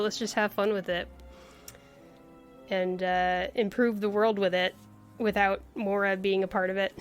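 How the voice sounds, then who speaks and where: A woman's voice: medium pitch, fairly bright tone, clean tone. A woman livestreaming a video game, commenting casually and wryly on the story as she reacts aloud. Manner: wry, chatty, reacting aloud. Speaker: game streamer. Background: faint game music.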